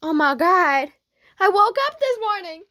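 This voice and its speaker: An excitable young sweetheart put on by a woman storyteller in a romantic roleplay. The voice is highish and creaky, stammering and easily flustered, recorded dry and close. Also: slow, dry recording.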